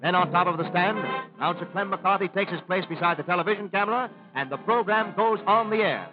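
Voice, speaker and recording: A man's voice: sits high, quick pace, highs cut off, over music. A man with an American accent reading scripted narration in a formal, declarative 1930s newsreel announcer style. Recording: thin vintage 1930s film soundtrack.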